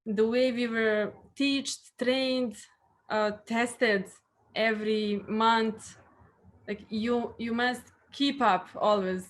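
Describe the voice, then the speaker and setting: A woman's voice: fairly high pitch, steady tone, takes it slowly. A young woman from Serbia with a Serbian accent, answering interview questions in English with frequent fillers and repeated words. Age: young adult. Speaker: guest.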